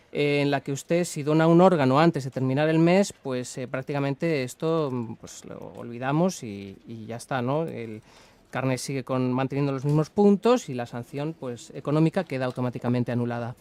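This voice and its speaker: A man's voice: fairly high pitch, darkish tone, clean tone, dry recording, lightly acted. A man playing a polite, apologetic traffic official on a prank call, with an accent from Spain and a hesitant, formal manner. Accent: Spanish from Spain. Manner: hesitant, courteous, official-sounding. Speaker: radio prank caller. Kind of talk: improvised prank phone call in a formal register.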